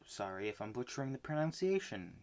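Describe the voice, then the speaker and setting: A man casually recapping the manga he read this month, rambling with many fillers and restarts, swinging between loud bursts and soft mumbles. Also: medium pitch, big pitch swings, a little fast. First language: not Japanese. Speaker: manga reviewer.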